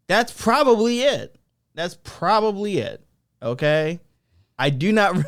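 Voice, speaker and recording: Smooth-voiced young man hosting a podcast. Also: fairly high pitch, no room sound, studio quality. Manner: rambling, self-deprecating, many fillers. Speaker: podcast co-host. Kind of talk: casual podcast chat.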